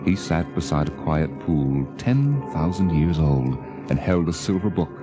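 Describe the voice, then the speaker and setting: Very deep, solemn radio narrator. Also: male voice, expressive, unhurried. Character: solemn, poetic storyteller. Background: dramatic music.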